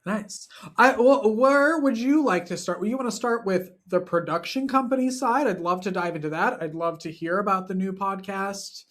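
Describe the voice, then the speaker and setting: A man's voice: high voice, moderate pace, few pauses, fairly bright tone. A man hosting a casual podcast interview, putting questions to his guest.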